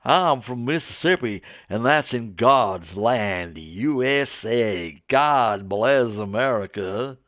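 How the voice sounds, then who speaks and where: A man's voice: medium pitch, expressive, takes it slowly, hardly any pauses, fairly bright tone, dry recording, studio quality. A man voicing a zealous, naive Southern American missionary in a told story, with a folksy, preachy drawl. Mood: earnest zeal.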